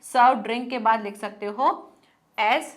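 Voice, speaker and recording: A woman teacher, teaching an online class. She dictates answers slowly as she writes, then explains them in Hindi mixed with English. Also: on the high side, steady tone. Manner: slow dictation while writing, mixing Hindi and English.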